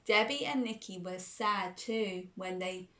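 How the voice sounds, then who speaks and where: A woman's voice: mid-range voice, measured pace, a bit bright. A woman hosting a children's storytime and reading a bedtime story, exuberant and sing-song as she talks to young listeners, often repeating phrases. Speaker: storytime host.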